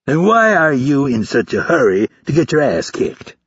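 A man's voice: mid-range voice, animated, fairly quick, creaky, fairly bright tone, breathy edge, no echo, muffled recording, voice pushed up, full character voice. A man playing a sour, foul-mouthed instructor in an audiobook, snapping and barking his taunts. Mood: challenging. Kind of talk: audiobook character voice.

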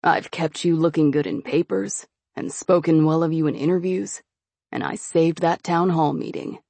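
A woman reading an audiobook voices a smooth-talking, scheming young nobleman who coaxes and quietly threatens. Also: sits low, steady tone, normal speed, dry recording. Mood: coaxing. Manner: slick, coaxing, quietly threatening. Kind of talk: audiobook character voice.

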